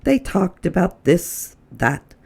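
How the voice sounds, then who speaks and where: A woman reading a children's story aloud as a storytime host, with dramatic emphasis and drawn-out words. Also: on the low side, very animated, creaky, darkish tone, slightly rough. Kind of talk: expressive read-aloud storytelling.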